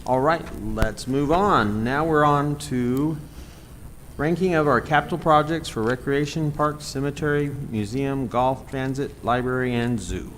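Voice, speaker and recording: A man's voice: medium pitch, measured pace, a bit bright, slight background noise. A man chairing a city committee meeting, brief and procedural.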